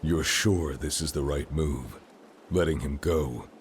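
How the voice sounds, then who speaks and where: An actor playing a grim, troubled wandering warrior in a dark fantasy video game, terse and brooding. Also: male voice, very deep voice, slow pace, slight background noise. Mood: wary. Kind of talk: dramatic video game acting. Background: cinematic background music.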